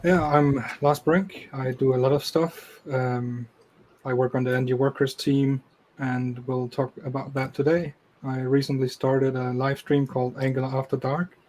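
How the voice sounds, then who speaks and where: A man guest on a developer podcast, explaining things in an even, matter-of-fact way. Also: mid-range voice, normal speed, slight background noise. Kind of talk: casual interview talk.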